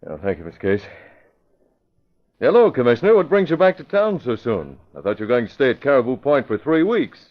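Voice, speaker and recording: An actor playing a calm, decisive young newspaper publisher, in an old radio drama. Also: male voice, mid-range voice, a little fast, natural voice. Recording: thin phone-like old radio recording.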